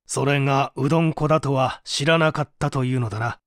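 A man's voice: medium pitch, fairly even intonation, fairly quick, no echo, studio quality, voice pushed down, natural voice. A man playing a stern, probing prosecutor who questions a suspect formally in a radio-drama reading.

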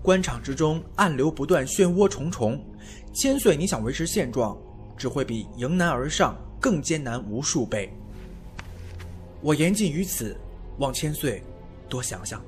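An actor playing an earnest, frank adviser to a prince in a Chinese period audio drama, deferential yet persuasive in literary phrasing. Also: male voice, fairly high pitch, animated, a little slow, soft background music. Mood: earnest counsel.

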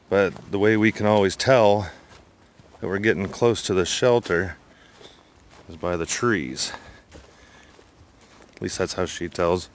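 A middle-aged man with a low, deep voice talks his way through a walk in the woods. He rambles in an easygoing, unhurried manner. The sound comes from a handheld phone held outdoors among the trees. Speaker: hiking vlogger. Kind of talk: casual narration on a hike. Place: outdoors in a forest.

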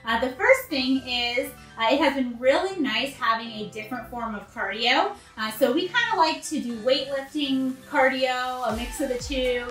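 A woman's voice: sits high, fairly bright tone. A woman in her thirties casually reviewing home gym equipment to camera in a bare indoor room. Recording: echoey room, faint music behind.

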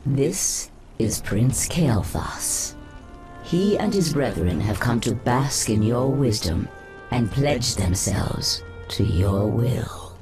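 A man's voice: mid-range voice, big pitch swings, slow pace, music in the background. A man voicing a proud, regal naga commander in a fantasy game, with a formal, stately manner. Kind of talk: dramatic fantasy game cutscene acting.

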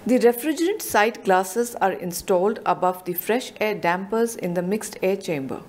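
A woman narrating a scripted technical explanation in a measured, explanatory voice-over. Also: mid-range voice, animated, darkish tone, slight background noise.

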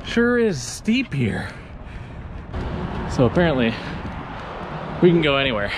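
A man in his thirties vlogging to an action camera held at arm's length, talking excitedly as he walks through an outdoor ballpark. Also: on the high side, unhurried, background noise. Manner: excited, breathless, talking to camera while walking. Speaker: baseball vlogger. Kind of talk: handheld vlog narration.

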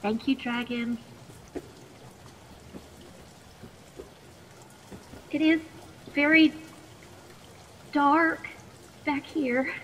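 Woman doing a nervous plucky teenage girl for a radio play, slow and high, big cave reverb. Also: a bit bright, lo-fi sound. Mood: nervous, polite. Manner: chatty, flustered, stammering politely. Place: video call performance. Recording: long echoing reverb, faint noise.

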